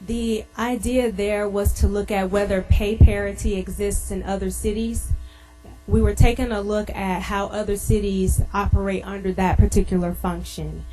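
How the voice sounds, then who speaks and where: A woman representing a city administration, giving formal, measured, bureaucratic explanations at a public meeting. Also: mid-range voice, steady tone, mellow, soft background music, low-quality recording.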